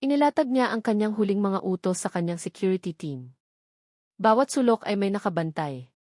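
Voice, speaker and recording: A woman's voice: mid-range voice, animated, a little fast, darkish tone, no room sound, clean studio sound. A woman reading a scripted, dramatic romance story as its narrator.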